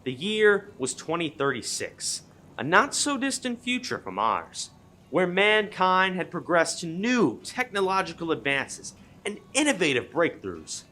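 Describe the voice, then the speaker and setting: A man's voice: sits high, sing-song, a little slow, pushed and bright, a little hiss or hum. A man reading dramatic scripted narration for a comic radio play.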